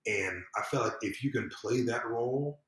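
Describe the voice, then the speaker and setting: A man's voice: medium pitch, normal speed, a bit bright. A man chatting as a podcast guest, earnest and emphatic, thinking aloud and repeating phrases as he goes. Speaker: podcast guest, civic empowerment consultant. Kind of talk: casual conversational interview. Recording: echoey room.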